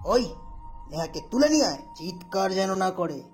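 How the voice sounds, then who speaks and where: A woman's voice: mid-range voice, very animated, moderate pace, faint music behind, lightly acted. A woman voicing an arrogant, vengeful male gang leader in an audio story, taunting and menacing.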